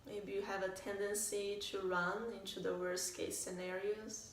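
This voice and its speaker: A woman leading a guided meditation as a teacher, speaking in a calm, gentle way with unhurried phrasing and pauses. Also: medium pitch. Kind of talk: guided meditation, soft instruction. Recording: roomy, distant mic, faint noise.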